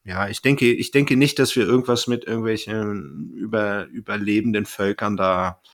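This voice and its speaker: A man's voice: on the low side, steady tone, normal speed. A man chatting casually as a podcast host, thinking aloud and often rephrasing mid-sentence.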